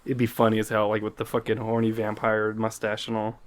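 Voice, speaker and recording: A man co-hosting a comedy podcast, rambling and laughing often, his voice trailing off quietly at the end of thoughts. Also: fairly low pitch, completely flat delivery, brisk. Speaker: podcast co-host. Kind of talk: casual comedic chat.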